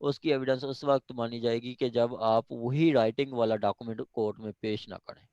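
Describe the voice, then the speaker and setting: A man's voice: mid-range voice, steady tone, darkish tone, smooth, no room sound. Pakistani man lecturing, dull lo-fi recording. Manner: switches between reading formal English haltingly and explaining conversationally. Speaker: law lecturer. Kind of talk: informal lecture explaining a legal text clause by clause.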